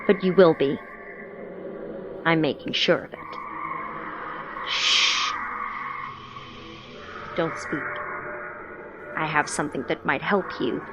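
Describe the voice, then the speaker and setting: An actress playing a cryptic, controlling older woman in an audio drama, speaking in a measured, condescending, knowing way. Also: sits low, fairly even intonation, over music. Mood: hushed, commanding.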